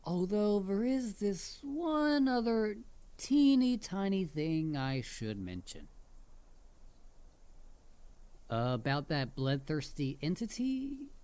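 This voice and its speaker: A performer voicing a mischievous, excitable headless dullahan in a roleplay audio drama, playful, theatrical and full of jokes. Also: medium pitch, slow pace. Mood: sly, menacing.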